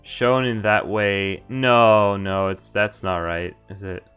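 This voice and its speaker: A man's voice: fairly low pitch, steady tone, takes it slowly, a bit bright, clean tone. A man streaming a game, reading its dialogue aloud and adding informal asides of his own. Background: game music.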